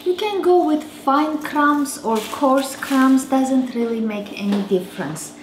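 A woman's voice: sits high, slow pace, background noise. A woman in her thirties with an Armenian accent hosts a home cooking show, chatting and teasing her child as she demonstrates a recipe. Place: large home kitchen. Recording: roomy kitchen with dish and cutlery clatter. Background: clinking dishes and utensils.

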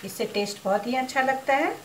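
A woman hosting her cooking channel, calmly talking through a recipe step by step and slipping English cooking words into Hindi. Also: high voice, fairly quick, reverberant, a little hiss or hum.

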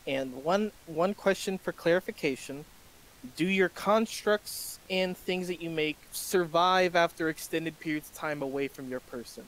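A man's voice: a little high, measured pace, fairly bright tone, low-quality recording. A man running a tabletop role-playing game as game master, joking with his players. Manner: joking, laughs at players' bits.

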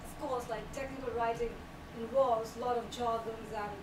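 A woman's voice: fairly high pitch, steady tone, normal speed, distant mic. Woman speaking at a meetup from afar. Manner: earnest, explanatory, laughs lightly at times. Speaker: technical writer giving a meetup talk. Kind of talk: presentation talk. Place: small room at a meetup. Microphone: far camera. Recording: echoey room, voice from afar, loud background noise.

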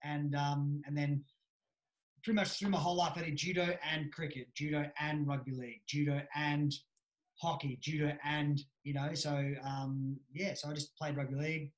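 A man's voice: fairly high pitch, level tone, normal speed. An Australian man in his thirties chatting casually as an interview guest, easygoing with lots of fillers. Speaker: guest, judo coach. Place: small room at home. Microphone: webcam video call. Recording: echoey room.

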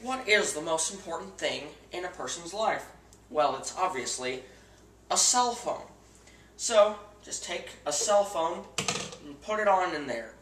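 A teenage boy hosts a joke cooking show in his home kitchen, walking through a silly recipe with straight-faced, earnest instructions. His voice is bright, and the camera mic catches it from a little way off, with the kitchen adding a roomy echo. A faint hum of household ambience sits underneath. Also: high voice, animated, a little slow. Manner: earnest instructional tone with absurd steps. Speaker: host of a home cooking parody. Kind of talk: deadpan mock cooking show. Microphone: camera mic across the kitchen. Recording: echoey kitchen, camera a bit far.

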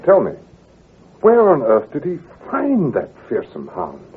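An actor playing a curious, courteous gentleman client in a radio drama. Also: a little high, huge pitch swings, a little slow, slightly rough, full character voice. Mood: puzzled, curious. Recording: muffled, lo-fi old radio recording.

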